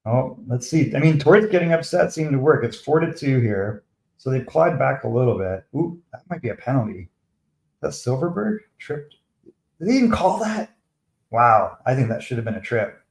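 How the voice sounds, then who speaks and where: A man from Canada hosts a casual hockey livestream, talking over the game and answering chat. His voice sits at a medium pitch, and he sounds chatty and a little defensive. The recording has a roomy, echoey sound. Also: lively intonation, normal speed, creaky, a little mellow. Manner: chatty, defensive, talks to viewers by name. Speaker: live stream host. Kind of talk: casual live commentary, replying to chat.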